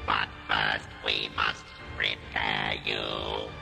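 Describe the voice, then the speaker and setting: A man voicing a cold, domineering alien machine in a science-fiction audio drama, croaking slow commands through an electronic robot effect. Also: extremely low pitch, loud music behind the voice, heavily acted. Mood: cold, commanding. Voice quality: croaking, grating mechanical monotone. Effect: electronic robot voice modulation.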